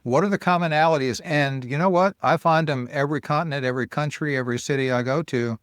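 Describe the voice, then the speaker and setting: A man's voice: fairly high pitch, fast, clean tone, no room sound, clean studio sound. An American man hosting a podcast interview, responding thoughtfully and building on his guest's points. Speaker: podcast host. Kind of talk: casual interview chat.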